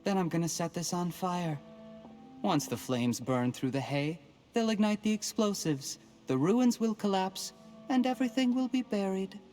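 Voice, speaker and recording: An actor playing a cold, ambitious young official in a game cutscene, speaking in a calm, smug, measured way. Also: male voice, sits high, soft background music.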